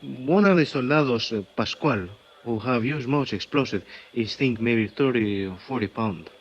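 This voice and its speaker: An actor playing an earnest Mexican official who speaks halting, Spanish-accented English full of "uh" fillers, in a 1950s radio drama. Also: male voice, mid-range voice, close to an everyday voice. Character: earnest Mexican male official at a crash site. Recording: dull old radio transcription with faint hiss.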